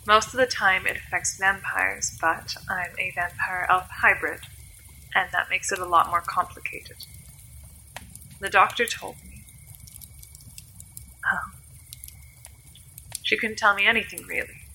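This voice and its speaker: A woman's voice: mid-range voice, steady tone, unhurried, pauses often, sharp tone, slight background noise, rough recording. A woman playing an ancient, sickly vampire-elf hybrid in an audio drama, guarded, wry and resigned in her delivery. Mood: resigned, sad.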